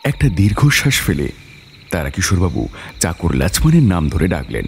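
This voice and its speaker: A man's voice: low-pitched, sing-song, moderate pace, gravelly, slight background noise. A man reading a scripted story as its narrator, with dramatic delivery.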